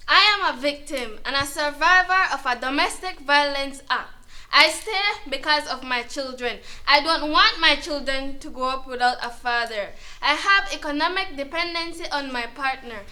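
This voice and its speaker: A woman's voice: sits high, moderate pace, pushed and bright. A woman playing a fearful, dependent mother who survived abuse, speaking earnestly in an educational drama. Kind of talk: amateur educational dramatization monologue.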